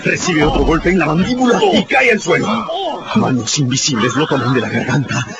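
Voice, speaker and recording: A man's voice: on the high side, moderate pace, over a noisy crowd. A man giving theatrical, emphatic scripted narration for a radio drama. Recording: muffled, under prominent music. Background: dramatic music.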